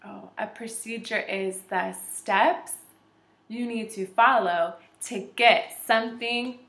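A woman in her twenties reading aloud and teaching young children as a kindergarten teacher, in an encouraging, animated way. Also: on the high side, slow pace, vocal fry. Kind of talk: children's read-aloud lesson. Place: small indoor room with shelves and whiteboard. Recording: echoey room.

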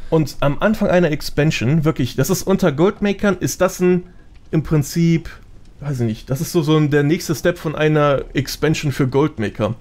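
A man's voice: fairly high pitch, moderate pace, a little mellow. A man chatting casually as a podcast guest, explaining things in slangy speech sprinkled with English gaming terms. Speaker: podcast guest, gold-making gamer.

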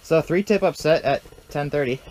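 A man's voice: fairly high pitch, moderate pace, a little mellow, slight background noise, poor audio. A young man chatting casually and playfully as an outdoor vlogger, recorded on a nearby action camera. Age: young adult. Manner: joking, playful. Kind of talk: casual vlog chat while ice fishing. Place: open frozen lake, later inside ice fishing tent. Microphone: action camera at arm's length or set nearby.

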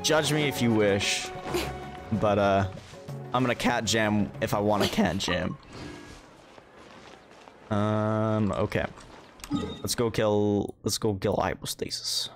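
Low-pitched, unhurried male game streamer. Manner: laid-back, sarcastic asides, mild swearing. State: tired. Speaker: livestreamer. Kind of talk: casual gaming livestream commentary. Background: game music.

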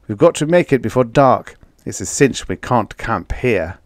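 A man voicing a steady male Mars explorer in an audiobook story, rough and urgent as he urges a partner on. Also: medium pitch, moderate pace, no room sound, studio quality, lightly acted.

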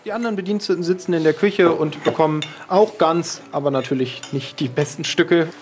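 A man's voice: fairly high pitch, a little fast, a little hiss or hum. A man narrating a tabletop role-playing game as its game master.

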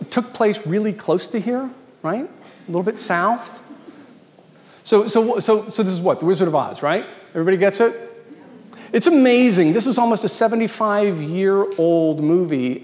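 A man's voice: high-pitched, darkish tone. An American man in his fifties giving an informal, joking keynote talk in a conversational way with emphatic asides. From Washington, D.C., United States. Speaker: keynote speaker, consultant. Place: large event hall with dinner tables, indoors. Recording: echoey hall with long reverb.

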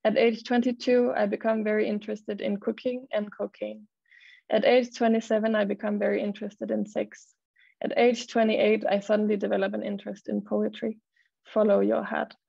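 A young adult woman, a Danish native speaker, talking hesitantly with many fillers and reading poems slowly on a video call. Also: mid-range voice, flat delivery, vocal fry, warm tone, husky, no echo. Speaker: poet and model, panelist. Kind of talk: panel talk and poetry reading. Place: home room, video call. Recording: dull lo-fi video call audio.

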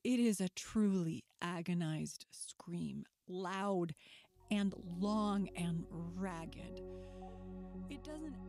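A woman reading scripted horror film narration in a measured, clinical, detached manner. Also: on the low side, lively intonation, darkish tone, soft background music.

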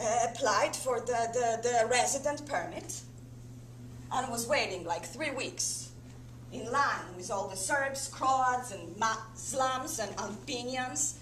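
An actress playing a distressed, bitter wife and mother in a halting, dramatic one-woman stage monologue. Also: on the high side, a bit bright, echoey room. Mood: halting, tense. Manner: halting, repetitive, emotionally dramatic. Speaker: stage actor. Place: indoor theatre stage, rehearsal. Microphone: camera a few metres away. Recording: noisy theatre camera recording with hum.

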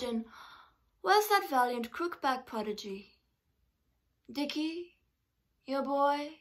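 Vengeful warrior queen, woman performer: high forceful voice, slow declamation, theatrical scorn for a stage monologue. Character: vengeful, mocking English warrior queen taunting a captive. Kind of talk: Shakespearean dramatic monologue.

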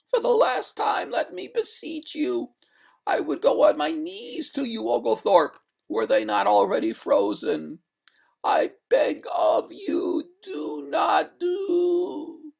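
A narrator voicing a genteel, haughty female water ghost in a storybook, pleading in drawn-out, breathy, melodramatic wails. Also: high-pitched, very animated, pitched above the performer's own voice, exaggerated voice. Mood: anguished, congealing.

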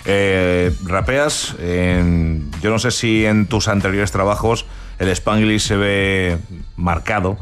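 Locutor de radio de voz grave. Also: male voice, low voice, soft background music. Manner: measured, probing questions with restarts. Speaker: radio host. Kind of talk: radio interview.

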